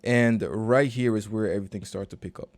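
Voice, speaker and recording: A man, a recording and mixing engineer, casually walking through a session in a relaxed, slangy, conversational way. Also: fairly low pitch, brisk. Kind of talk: casual screen-share walkthrough.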